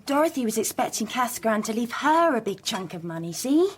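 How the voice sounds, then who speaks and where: A woman's voice: on the high side, sing-song, fairly quick, slight background noise. A woman acting in a radio drama as an earnest, insistent teenage daughter who is sure of her hunches. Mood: insistent, certain. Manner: insistent, eager.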